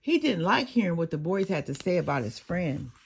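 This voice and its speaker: Animated, husky woman reading aloud. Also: on the low side, a little fast. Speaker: children's storybook reader. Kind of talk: expressive read-aloud narration for children.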